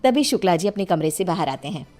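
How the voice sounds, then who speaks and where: A woman's voice: fairly low pitch, expressive, quick pace, a little mellow, faint music behind. A woman narrating a family story as scripted storytelling.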